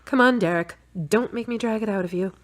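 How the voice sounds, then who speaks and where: A woman's voice: medium pitch, fairly quick, natural voice. An actress playing a sensible, exasperated woman in audio drama dialogue, frank and articulate. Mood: skeptical, pointed.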